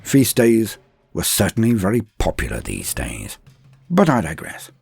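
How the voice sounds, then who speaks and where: A man's voice: on the low side, huge pitch swings, unhurried, creaky, a little mellow, husky, dry recording, faint music behind. A man narrating a comedic mock-epic story with archaic phrasing and joking asides.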